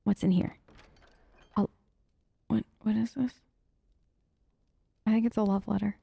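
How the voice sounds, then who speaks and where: A woman's voice: medium pitch, flat delivery, measured pace, vocal fry, mellow, slightly rough, no echo. A woman giving casual role-play gameplay commentary as a let's play host, with a playful, hesitant, reactive way of talking.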